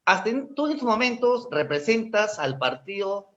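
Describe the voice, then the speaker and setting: A man's voice: high-pitched, very animated, moderate pace, a bit bright. A man interviewing a political candidate, asking direct, probing questions. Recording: dull lo-fi sound.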